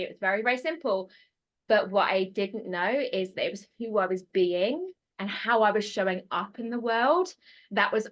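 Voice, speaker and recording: A British woman in her thirties, a coach and podcast guest, talking in a bubbly, quick way with many fillers. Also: mid-range voice, a bit bright. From United Kingdom. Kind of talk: casual podcast interview.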